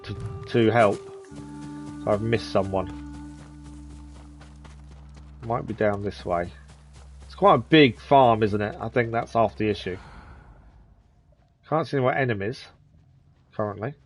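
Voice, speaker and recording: A man's voice: lowish voice, unhurried, pauses often, faint music behind. A man in his thirties giving live gameplay commentary, chatting with viewers and muttering at enemies as he plays. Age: 30s. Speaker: streamer.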